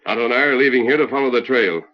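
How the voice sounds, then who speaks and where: An actor playing a calm, resolute masked cowboy hero in an old radio western, with firm, formal delivery. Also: male voice, medium pitch, very fast, lightly acted. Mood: firm, defiant. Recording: muffled old radio recording.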